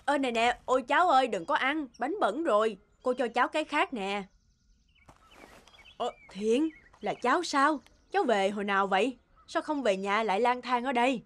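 A woman's voice: fairly high pitch, moderate pace, fairly bright tone, natural voice. An actress playing a concerned village market woman in a cartoon, earnest and folksy. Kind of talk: cartoon dubbing. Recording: clear music under the voice.

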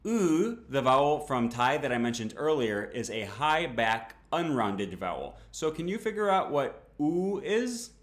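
A man's voice: mid-range voice, big pitch swings, measured pace, hardly any pauses. Man explaining vowels in a roomy room. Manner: clear, patient and explanatory. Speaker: language teacher. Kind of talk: educational explainer to camera. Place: small home room with bookshelf.

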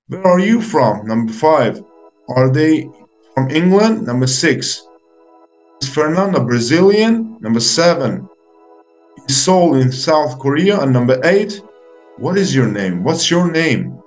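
A young man teaching English to beginners step by step, speaking in short, deliberate phrases with an encouraging manner. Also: fairly high pitch, expressive, a little mellow, soft background music, poor audio. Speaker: English teacher hosting a video lesson. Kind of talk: slow, step-by-step instructional talk for beginners.

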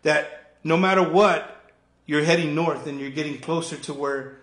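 A man's voice: fairly high pitch, normal speed, fairly bright tone. A man hosting a podcast interview, asking probing questions and often restarting his sentences. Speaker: host. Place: studio desk at home. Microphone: studio mic with headphones. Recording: roomy echo.

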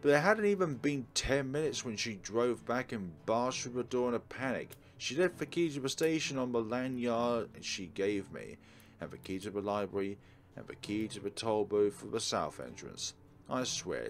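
Low-voiced man with lively delivery. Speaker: let's play host. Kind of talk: reading game narration aloud with casual commentary. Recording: game music behind the voice. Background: visual novel soundtrack.

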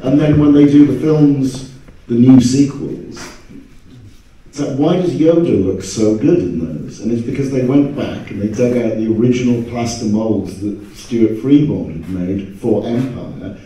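Very dark-voiced man, distant and echoey. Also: medium pitch, unhurried. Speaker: convention panelist. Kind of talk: casual storytelling on a panel. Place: stage in a hall, recorded from audience camera. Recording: very echoey hall, distant microphone.

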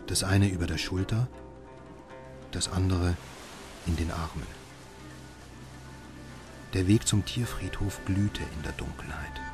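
An actor playing a haunted, exhausted father who narrates his own horror tale in a radio play, brooding and measured. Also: low voice, music in the background. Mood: grim, subdued. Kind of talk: scripted first-person radio play narration.

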